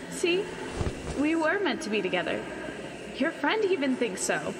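A woman's voice: sits high, sing-song, takes it slowly, full character voice. A woman playing a playful, teasing talking sword in a fantasy audio drama, coy and giggly. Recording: long reverb, clear background noise.

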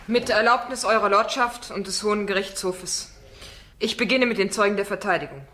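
An actress playing a formal, composed defence barrister in a radio play, questioning in a measured manner. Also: female voice, medium pitch, a bit bright, a little hiss or hum, lightly acted. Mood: formal, solemn.

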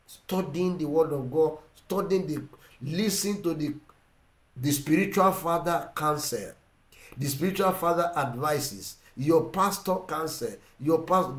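Middle-aged man, Ugandan English accent, emphatic sermon, high voice, roomy echo. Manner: emphatic preaching, repeats phrases, swings between loud and quiet. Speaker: pastor. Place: indoor room with plain wall. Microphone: seated facing camera.

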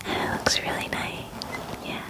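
A woman in her twenties doing a soothing, reassuring ASMR personal-attention roleplay, whispering close to the microphone in an unhurried way. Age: 20s. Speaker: ASMR roleplayer playing a werewolf groomer. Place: small indoor room, close up. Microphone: close microphone, near the camera.

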